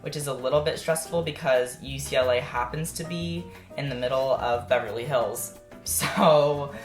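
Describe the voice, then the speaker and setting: A man's voice: medium pitch, normal speed. Teen guy rambling while he bakes, first language japanese, talks real articulate, echoey kitchen with faint music. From United States. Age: late teens. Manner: rambling, carefully articulated words. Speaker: YouTuber. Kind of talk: casual baking vlog chat. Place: home kitchen.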